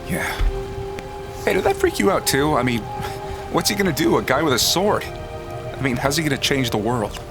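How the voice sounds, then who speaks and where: A man playing a wisecracking, tender, self-mocking adventurer in an audio drama, talking in a halting, wry, conversational way. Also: a little high, expressive, vocal fry, music in the background. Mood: earnest. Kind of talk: fan audio drama acting.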